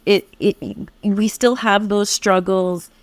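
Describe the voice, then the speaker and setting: An American woman co-hosting a podcast, reflecting at length in a warm, affirming conversational way with many restarts. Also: mid-range voice, fairly even intonation, slow pace, dry recording. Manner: reflective, affirming, speaks in long winding sentences with restarts. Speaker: podcast co-host.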